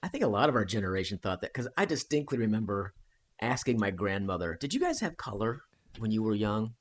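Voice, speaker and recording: A man guesting on a podcast talks in a thoughtful, hedging way. His pitch moves a lot, rising and falling through each sentence. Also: big pitch swings, fairly quick. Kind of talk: casual chat.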